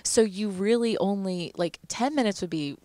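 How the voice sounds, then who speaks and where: A young woman attorney chatting casually as a studio guest on a headset mic, friendly and quick to laugh. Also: medium pitch, mellow, dry recording. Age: young adult. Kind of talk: casual conversation. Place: dark studio.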